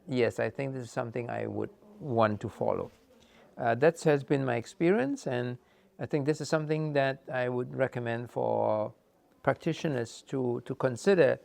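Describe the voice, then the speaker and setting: A man giving a measured, earnest talk as a counselor, answering questions with many fillers and pauses. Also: medium pitch, creaky, darkish tone, no room sound.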